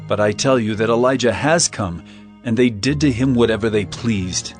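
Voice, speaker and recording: A man's voice: lowish voice, normal speed, soft background music, close to an everyday voice. An actor playing a stern, authoritative male teacher, speaking solemnly and with commanding emphasis in a dramatized scripture reading.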